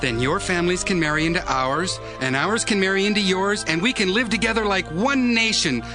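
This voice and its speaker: A man's voice: high-pitched, a little fast, over music, natural voice. An actor playing a stern, cunning young tribesman in a dramatized audio Bible. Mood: stern, bargaining.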